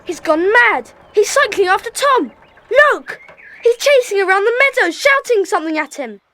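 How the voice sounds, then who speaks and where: An actress playing a casual, excitable schoolchild in a children's audio drama. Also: female voice, very high voice, moderate pace, exaggerated voice. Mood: excited. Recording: faintly hissy old cassette.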